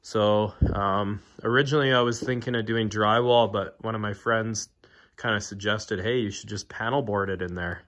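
Deep-voiced man rambling on a DIY project. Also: fairly even intonation, normal speed, a bit bright. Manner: rambling, many fillers and restarts. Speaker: DIY video maker. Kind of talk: casual walkthrough vlog. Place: inside an empty metal shipping container. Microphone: phone camera held in hand.

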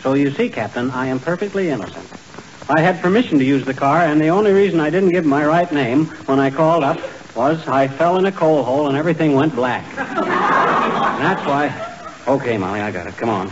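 An actor playing a hapless, folksy, excitable husband in 1940s radio comedy style. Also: male voice, on the high side, a little fast, lightly acted. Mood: earnest. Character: hapless, blustering everyman husband. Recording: muffled, lightly hissy 1940s radio recording.

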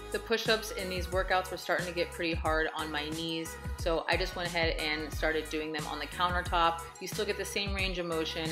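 Chatty woman in her thirties, lowish voice. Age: 30s. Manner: upbeat, encouraging, chatty. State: out of breath after a workout. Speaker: fitness and meal prep vlogger. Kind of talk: casual vlog narration. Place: home living room and kitchen.

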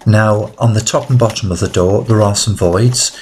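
A man with a very low voice hosts a DIY how-to video. He explains things step by step in a conversational way, talking straight to the viewer. There is some faint noise and clicking in the recording. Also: moderate pace, darkish tone. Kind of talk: informal how-to narration.

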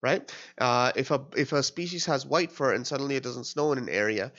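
A stuffed-up, nasal man in his thirties explains a test question at his desk, pausing on "uh" and "um" and restarting as he reasons aloud. His voice sits at an ordinary pitch. The recording is dull and low-fidelity, like a webcam mic. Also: a bit bright. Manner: thinking aloud with fillers and restarts. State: nasal from a cold. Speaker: tutor.

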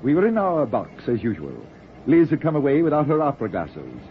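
An actor playing a wry, worldly middle-aged runaway husband with dry, theatrical wit in a 1940s radio drama. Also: male voice, mid-range voice, wildly swooping pitch, a little fast. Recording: tinny, noisy 1940s radio recording.

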